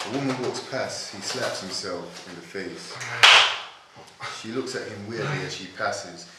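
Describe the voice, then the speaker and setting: Deep-voiced man reading stage directions, echoey room. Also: measured pace. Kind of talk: scripted narration at a table read. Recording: very echoey room, clear background noise.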